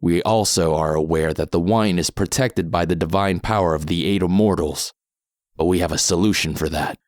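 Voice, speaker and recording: An actor playing a calm masked mercenary in an audio drama, measured, unhurried and coolly threatening. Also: male voice, low-pitched, no room sound, studio quality, lightly acted. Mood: quietly menacing. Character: calm masked mercenary man, cool and menacing.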